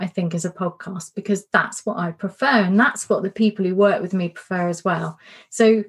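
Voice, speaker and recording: A middle-aged woman coach giving a casual spoken workshop, candid and self-reflective, her voice a little worn and hoarse. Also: mid-range voice. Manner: warm, candid, self-reflective, repeats words for emphasis. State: slightly losing her voice. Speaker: coach and podcast host.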